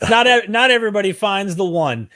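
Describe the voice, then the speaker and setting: A man's voice: sits high, animated, fairly quick, sharp tone, no room sound. A man hosting an interview podcast, asking questions and pointing listeners to linked material in a friendly tone. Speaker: podcast host.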